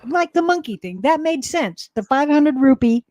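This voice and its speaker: A woman's voice: high-pitched, animated, moderate pace, darkish tone, dry recording, studio quality. A middle-aged woman, chatting casually on a live panel. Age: 50s or older.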